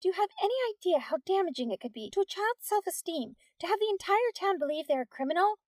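A woman narrator acts out a lady schoolteacher from an audiobook novel. Her voice sits high and has a musical lilt. She comes across as flighty yet indignant, ready to turn curt. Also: a little fast, a little mellow, no room sound. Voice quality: musical, lilting. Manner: curt when challenging.

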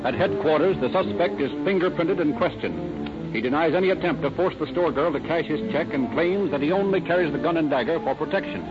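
Actor as higher-pitched radio crime narrator, loud music. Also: male voice, lively intonation, brisk, lightly acted. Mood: grave, dramatic. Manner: formal, dramatic. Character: grave, dramatic male crime-story narrator. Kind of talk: 1930s radio scripted narration. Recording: muffled old radio recording, loud music.

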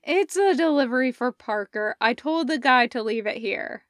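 A woman's voice: high voice, expressive, normal speed, fairly bright tone, dry recording, clean studio sound. A woman voicing a bleary, laid-back young man who talks casually and hesitantly in a story reading. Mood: casual, uneasy.

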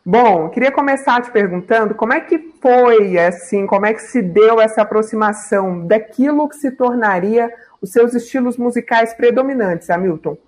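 Woman host reading her intro nice and clear, then asking the questions. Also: fairly high pitch, moderate pace, hardly any pauses, a little mellow. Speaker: TV interviewer. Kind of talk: scripted presenter intro and interview.

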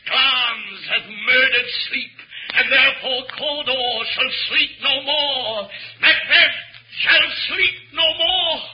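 A man's voice: very high-pitched, slow pace, soft background music. An actor playing a guilt-ridden, ambitious nobleman in 1940s radio Shakespeare, with a grave, declamatory, theatrical manner. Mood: anguished. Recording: dull lo-fi 1940s radio recording.

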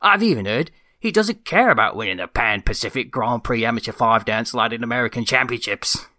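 A man's voice: medium pitch, lively intonation, a little fast, bright, forceful tone, dry recording, studio quality. A man putting on a folksy, slang-heavy dance-news reporter with a mock-serious newsreader delivery.

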